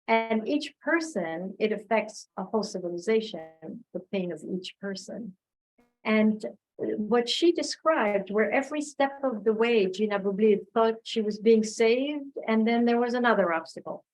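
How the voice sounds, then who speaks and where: A woman giving thoughtful, reflective answers as a guest speaker in an online discussion, pausing as she finds her words. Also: a little high, a little mellow, low-quality recording. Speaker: guest speaker, filmmaker and oral history researcher. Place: remote online video call.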